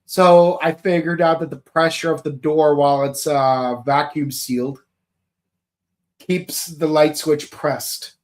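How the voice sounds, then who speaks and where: A man co-hosting a podcast, chatting casually and joking as he rambles and thinks out loud. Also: fairly high pitch, fairly even intonation, takes it slowly. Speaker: podcast co-host. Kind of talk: casual chat about a TV series.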